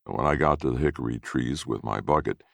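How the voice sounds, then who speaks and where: A man's voice: rumbling low, fast talker, gravelly, dry recording. A man reading a first-person story as narrator in a folksy storytelling manner.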